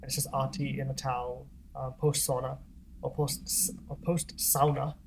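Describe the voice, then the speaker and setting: Let's-play host, casual rambling commentary, mid pitch, faint background hiss. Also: moderate pace, vocal fry, low-quality recording. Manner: relaxed, rambling, frequent ums and laughs.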